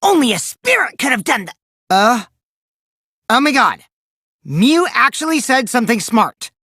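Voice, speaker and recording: A man's voice: very high-pitched, very animated, unhurried, bright, forceful tone, slightly rough, no echo, clean studio sound, heavily acted. A man voicing a mischievous, taunting teenage boy who lies with glee, in theatrical anime video game style. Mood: mocking, excited. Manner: theatrical, swinging from gleeful mockery to cold remarks.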